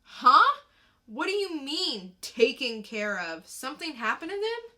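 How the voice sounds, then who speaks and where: A woman's voice: sits high, very animated, unhurried, sharp tone, pitched above the performer's own voice, exaggerated voice. A woman acting a defiant, streetwise teenage boy, guarded and slangy, in a dramatic comic-book reading. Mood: wary, defiant.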